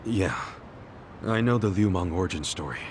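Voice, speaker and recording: A man's voice: deep voice, animated, moderate pace, a little hiss or hum. A man voicing an easygoing young hero who talks in a casual, joking way. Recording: game audio with music behind.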